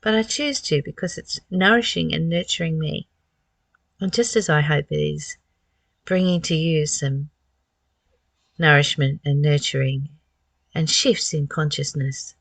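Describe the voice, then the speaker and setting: A woman's voice: low voice, a little slow, vocal fry, pauses often, no room sound. A woman giving a spiritual talk as a teacher, reading and reflecting with rhetorical questions.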